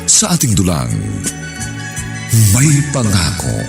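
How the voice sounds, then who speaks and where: A man's voice: deep voice, wildly swooping pitch, slow. A Filipino man announcing a radio drama in a dramatic, scripted style. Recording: echoing voice under loud music. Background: loud music.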